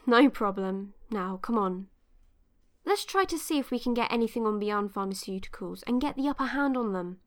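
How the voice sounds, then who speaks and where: A woman's voice: medium pitch, expressive, normal speed, close to an everyday voice. A woman playing a supportive, teasing tech expert in a naturalistic audio drama, with a casual, wry way of talking.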